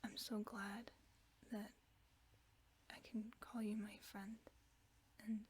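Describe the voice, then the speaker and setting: A woman voicing a gentle, caring werewolf friend, reading a bedtime story in a soft, often whispered voice for a sleep-aid roleplay. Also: medium pitch, flat delivery, breathy edge. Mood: tender. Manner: slow soothing bedtime reading.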